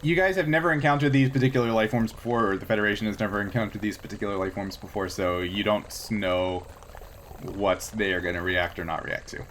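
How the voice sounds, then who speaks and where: A man's voice: lowish voice, steady tone, fast, fairly bright tone, slight background noise. A man running a tabletop game as game master, explaining things in a hesitant, improvised way.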